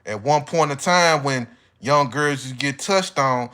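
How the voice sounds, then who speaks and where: A man's voice: medium pitch, a little slow, bright, forceful tone, clean tone. An American man giving a casual, opinionated monologue as an online commentator, speaking bluntly with heavy slang and swearing. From United States.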